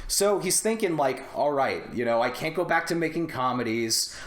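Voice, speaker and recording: A man chatting casually on a movie podcast, telling rambling, animated stories with plenty of swearing. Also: mid-range voice, moderate pace. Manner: animated, profane, rambling storytelling. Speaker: podcast co-host. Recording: roomy, echoey indoor sound.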